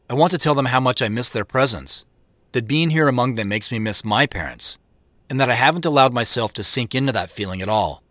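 A man's voice: mid-range voice, sharp tone, dry recording, studio quality. A man playing a conflicted, career-driven man in an audio drama, narrating his own thoughts in an earnest, reflective way. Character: Joseph, a conflicted, career-driven man holding back emotion. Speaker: narrator and lead actor. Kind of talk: introspective first-person audio drama narration.

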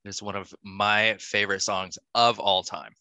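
A man's voice: lowish voice, flat delivery, measured pace, pushed and bright, no echo. A man hosting a podcast, chatting casually and putting questions to a guest. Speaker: podcast host. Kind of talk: casual interview chat.

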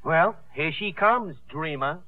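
A man's voice: fairly high pitch, expressive, slow pace. An actor playing a grumbling, folksy showman's sidekick with a wry manner in 1950s radio drama. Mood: skeptical. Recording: muffled old 1950s radio broadcast.

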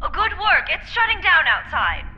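An actress playing a commanding, angry woman scientist in an audio drama, forceful, biting and urgent. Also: very high voice, wildly swooping pitch, moderate pace, dull audio, heavily acted. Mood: scornful. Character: commanding, furious woman scientist facing a disaster. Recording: long reverb, noisy ambience.

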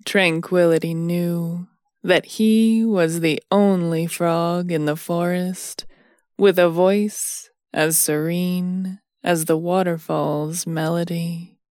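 A woman narrates a bedtime story at an extremely slow pace. Her voice sits a little low and is recorded dry and close, with no room sound. She is soothing and measured, resting between phrases. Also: steady tone, darkish tone, no room sound.

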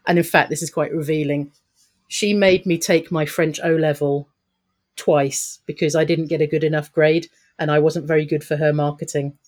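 A woman's voice: sits low, steady tone, normal speed, a little mellow. A woman talking as a guest in an interview, upbeat and chatty, laughing often and restarting her sentences. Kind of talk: podcast interview answers.

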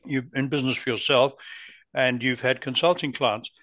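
A man who grew up in Africa co-hosts an interview podcast in an affable way, often pausing to clear his throat. His voice is bright and forward, and the recording is dry and close. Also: mid-range voice, steady tone, dry recording. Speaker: podcast co-host. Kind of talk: interview hosting.

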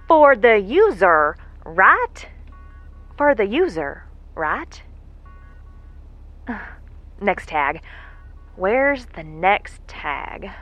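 A weary, wisecracking female engineer in an audio drama. She mutters slowly to herself in a bright, salty, effortful voice. The sound is somewhat dull, with music behind. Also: medium pitch, very animated, no room sound, full character voice. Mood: wry, tired. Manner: muttering, self-amused, effortful. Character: weary, salty, wisecracking woman moon engineer working alone.

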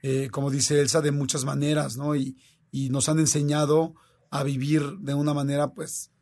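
A man's voice: on the high side, little pitch movement, normal speed, a little mellow. A man with a Mexican Spanish accent, hosting a conversation and talking earnestly and animatedly. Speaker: host. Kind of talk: casual conversation.

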